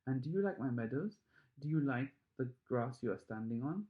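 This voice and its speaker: A man voicing an excitable, proud, fussy chocolate factory owner in a storybook reading, with gushing exclamations. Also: medium pitch, brisk, narrow, tinny audio, natural voice.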